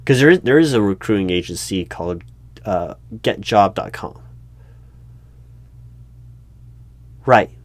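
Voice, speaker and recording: A man playing a patient but flustered, unobservant recruiting manager in a one-sided ASMR interview roleplay, speaking aloud in a halting, puzzled way. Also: lowish voice, expressive, lightly acted. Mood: puzzled, polite. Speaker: job interviewer. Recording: faint noise, computer keyboard typing clicks. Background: keyboard typing and clicks.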